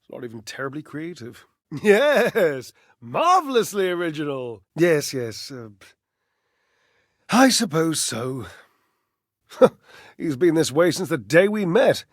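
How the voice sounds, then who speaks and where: A flamboyant, vain clothes dryer from a video game, a sensitive showman. His voice is high for a man and swoops widely through theatrical phrasing, with breathy sighs and flustered stammers, recorded close and dry. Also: sing-song, takes it slowly, long pauses, dry recording, heavily acted. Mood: defensive, sarcastic. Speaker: voice actor.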